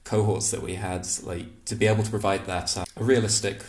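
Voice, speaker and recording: A man hosting an interview podcast, relaxed, with dry humor and many fillers. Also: lowish voice, brisk, reverberant. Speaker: podcast host. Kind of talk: interview conversation.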